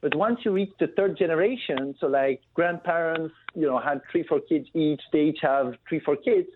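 A man's voice: fairly high pitch, moderate pace. A man from Belgium, a guest on a business talk show, speaking over the phone in a casual, fluent way with many fillers. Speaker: guest, family business founder. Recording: tinny phone line with faint noise.

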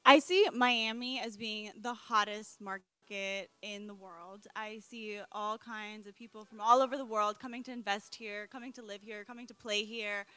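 A young woman from Colombia, a real estate broker, pitching her project with eager sales enthusiasm. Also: bright, forceful tone, clean tone, dry recording. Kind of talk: interview guest, sales pitch.

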